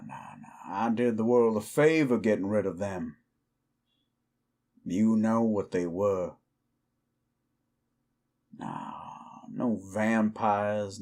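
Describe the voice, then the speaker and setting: An actor playing a weary, defiant cowboy outlaw werewolf in an audio roleplay, with a folksy, brooding American Southern or Western drawl. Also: male voice, fairly low pitch, darkish tone. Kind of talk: slow dramatic roleplay monologue to the listener.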